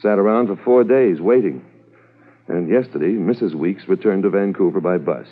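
An actor playing a brisk, authoritative police commissioner in a 1950s radio drama, methodical and official. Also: male voice, deep voice. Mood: businesslike, briefing. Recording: muffled, thin old radio recording.

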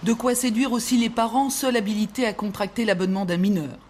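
A woman reporter narrating an archive TV news report in a measured, formal voice. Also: on the high side, steady tone, a little mellow. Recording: archive TV report, faint background noise.

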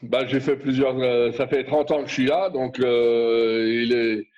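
A man in his fifties from France, a podcast guest telling his story slowly and haltingly, with many fillers and restarts. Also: mid-range voice, steady tone, a little mellow, highs cut off. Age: 50s. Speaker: guest, association board member. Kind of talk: casual podcast interview.

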